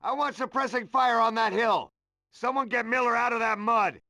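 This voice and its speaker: An actor playing a tough, curt Marine squad leader giving orders in a war game. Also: male voice, very high voice, normal speed, heavily acted. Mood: commanding. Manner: gruff, curt, authoritative. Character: tough, commanding Marine sergeant in the Pacific war. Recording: loud gunfire and battle noise.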